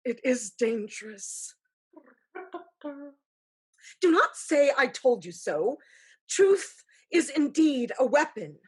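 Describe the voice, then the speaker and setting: A woman acting a solemn ghostly aunt who gives measured, formal counsel in a staged play reading. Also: high voice, big pitch swings, husky, exaggerated voice. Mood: brooding, regretful. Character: ghostly aunt spirit, solemn, counselling, haunted by lost sister.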